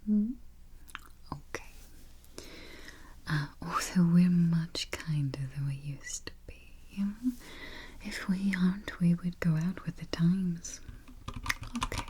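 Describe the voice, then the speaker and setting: Woman, soft ASMR whisper, deep-toned, close mic, faint keyboard typing behind. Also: a little hiss or hum. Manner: soft whispering, unhurried and reassuring. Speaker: ASMR creator playing a vampire travel agent. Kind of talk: ASMR roleplay. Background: keyboard typing and taps.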